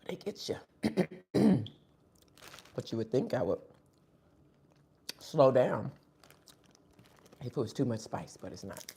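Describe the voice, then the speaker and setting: Live-stream host, husky and dark-toned, sniffly, chatty eating banter. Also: mid-range voice, big pitch swings, takes it slowly, pauses often. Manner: chatty, talks between bites. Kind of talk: casual live-stream chat while eating.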